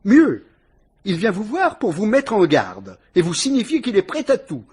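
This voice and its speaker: An actor playing a shrewd police inspector who questions a suspect with dry irony, in a vintage radio drama. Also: male voice, sits high, wildly swooping pitch, normal speed, a little mellow, husky, exaggerated voice. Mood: probing, ironic. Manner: sly, probing, mocking interrogation.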